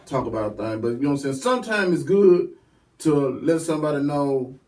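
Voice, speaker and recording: A man's voice: on the high side, expressive, fairly quick, a little mellow. A man hosting a casual interview, laid-back and chiming in with short agreeing replies. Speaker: podcast host. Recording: distant mic.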